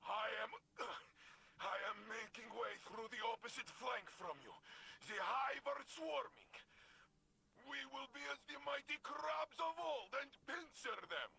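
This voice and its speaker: A noble anguished game warrior, stubborn and declamatory, gravelly edges, noisy background. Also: male voice, very high-pitched, animated, unhurried, sharp tone, heavily acted. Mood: urgent. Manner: formal, declamatory, emphatic phrasing. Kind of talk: dramatic video game voice acting.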